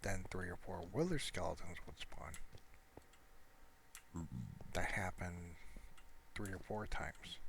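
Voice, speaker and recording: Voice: sits low, animated, takes it slowly, long pauses. A gamer casually narrating their own gameplay, thinking aloud in a rambling, easygoing way over a noisy home recording.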